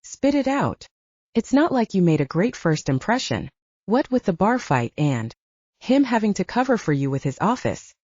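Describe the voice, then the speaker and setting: A woman's voice: mid-range voice, sing-song, normal speed, darkish tone, no echo, lo-fi sound. A woman voicing a blunt, indignant female werewolf in an audiobook. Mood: frustrated. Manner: blunt, swearing, challenging. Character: blunt, indignant young she-wolf shifter.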